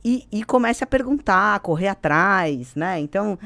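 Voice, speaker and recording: A woman's voice: mid-range voice, animated, moderate pace, a bit bright. A Brazilian woman speaking as an executive guest on a podcast, explaining emphatically and checking in with little tag questions. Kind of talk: podcast interview conversation.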